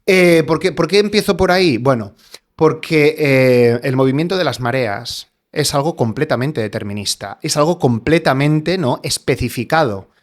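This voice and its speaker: Guy, slightly high voice, explains stuff on a podcast, lots of eh and no?. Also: normal speed. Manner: hesitant, many fillers and rhetorical tags. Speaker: scientist guest. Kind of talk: explanatory interview talk.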